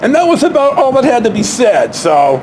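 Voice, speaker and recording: A man casually telling a personal anecdote as a vlog host, in a halting, rambling, blunt way with long hesitations. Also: extremely high pitch, huge pitch swings, noisy background.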